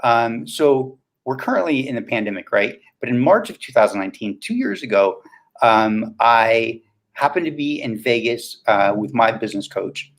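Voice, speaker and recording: A man's voice: medium pitch, animated, normal speed, poor audio. A man in his fifties speaking as a coach and interview guest, casual and motivational, often posing questions and answering them himself. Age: 50s.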